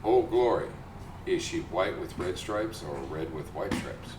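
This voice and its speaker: Brief: low-voiced male streamer, dry joker, roomy sound with a noisy backdrop. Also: animated, a little slow, distant mic. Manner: dry, joking asides. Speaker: co-host streamer. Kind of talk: casual live-stream chat over gameplay. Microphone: room mic set away from him. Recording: roomy, off-mic, with background noise.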